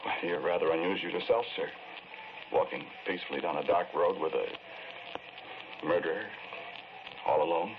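A softly haunted murderer in an old radio play. His voice is low, courteous and hesitant, pleading in halting phrases. The muffled vintage recording is clearly noisy. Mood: calm, courteous. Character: confessed murderer, polite, pleading, softly haunted man in handcuffs. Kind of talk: 1940s radio drama acting.

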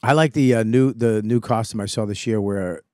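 A man's voice: fairly low pitch, normal speed, dark tone, dry recording. Guy with dry little wisecracks on a comedy podcast, close dry mic. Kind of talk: casual comedy chat. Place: podcast studio. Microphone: studio mic with headphones.

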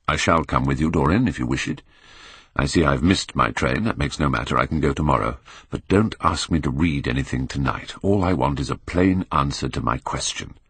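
A man acting a grave, earnest Victorian painter, deep-voiced. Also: a little fast, a bit bright, slightly rough, no echo, heavily acted. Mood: weary, firm. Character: earnest, anguished male Victorian painter, pleading and moralising.

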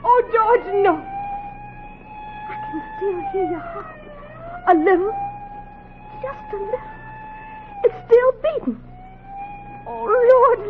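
A woman's voice: extremely high pitch, heavily acted. A woman playing a frightened, devoted wife, urgent and melodramatic, in a 1940s radio drama. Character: frightened, devoted wife pleading for her husband. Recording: muffled, hissy 1940s radio recording.

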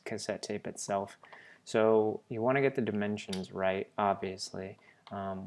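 A man presenting a software tutorial in a casual, explanatory way, informally thinking aloud as he goes. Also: fairly low pitch, vocal fry.

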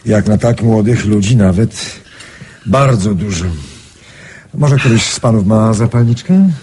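Low, mellow, self-assured gentleman gambler. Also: lively intonation, measured pace, a little hiss or hum. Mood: calm, assured. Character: self-assured older gentleman gambler trusting his talisman. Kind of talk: radio drama acting.

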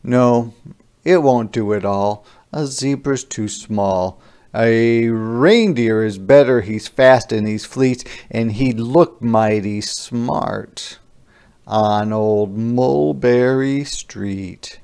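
Very slow-reading man, gravelly storyteller. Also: medium pitch, a little mellow. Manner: deliberate, dramatic emphasis with drawn-out line endings. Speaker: storybook reader. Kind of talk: expressive scripted children's read-aloud.

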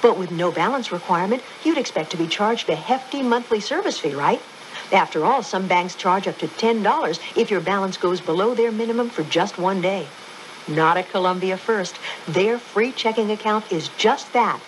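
A woman's voice: mid-range voice, lively intonation, moderate pace, rough recording. An American woman announcer reading a scripted radio advertisement. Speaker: commercial announcer. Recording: radio ad with faint noise.

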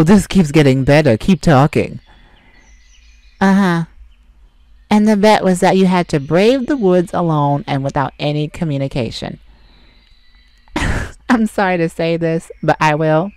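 A woman's voice: fairly low pitch, unhurried, pauses often, a little mellow, dry recording, natural voice. A woman voicing a sassy, snarky male forest fae in an ASMR roleplay, teasing and blunt, recorded on a close mic.

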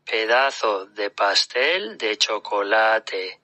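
A man's voice: lowish voice, expressive, slow pace, pushed and bright, no room sound. A synthetic male voice in a language app, reading example phrases evenly.

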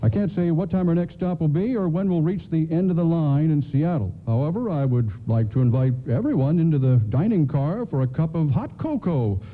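An actor playing a kindly, folksy older train conductor who stammers apologetically in a radio play. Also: male voice, a little high, lively intonation, brisk, few pauses. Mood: courteous announcing. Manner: stammering, hesitant. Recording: thin phone-like band, noisy radio broadcast.

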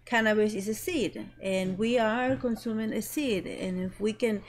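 A woman's voice: mid-range voice, normal speed. A young woman from Ecuador, a guest in casual chat, speaking carefully and searching for words. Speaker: guest entrepreneur. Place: small studio with green-screen set.

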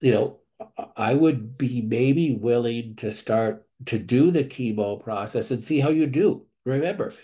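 A man, a clinic doctor, reads member questions aloud and answers them in a measured way, thinking aloud with restarts, over a screen-share video call. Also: medium pitch, dark tone.

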